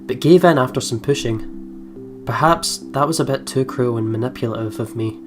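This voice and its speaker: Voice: medium pitch, moderate pace. A chatty, reactive game player giving casual commentary and reading game text aloud. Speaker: let's play host. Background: soft game music.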